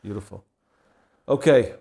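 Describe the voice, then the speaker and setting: A man hosting a casual interview, asking questions with frequent quick affirmations and laughing along. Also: fairly low pitch, gravelly, mellow. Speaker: podcast host.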